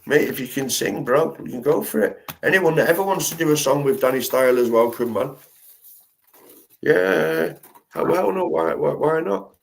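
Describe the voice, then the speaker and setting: A man's voice: a little high, animated, normal speed, creaky. A man hosting a live stream, chatting casually in slangy, rambling talk full of restarts.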